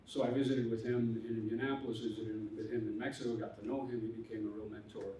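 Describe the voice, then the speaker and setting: Older guy on a panel, lowish mellow voice, stops and restarts a lot, big echoey room. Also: level tone. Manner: halting, many restarts and fillers, earnest. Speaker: panelist theologian. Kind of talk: personal storytelling on a panel. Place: conference hall. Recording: echoey hall from far off, faint noise.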